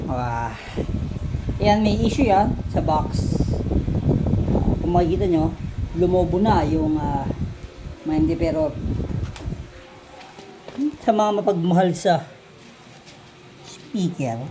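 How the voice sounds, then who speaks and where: Casting: Filipino vlog host, medium register, casual Taglish product chat, halting delivery with questions tossed to viewers. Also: medium pitch, poor audio. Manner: Taglish code-switching. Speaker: vlog host showing vintage audio gear.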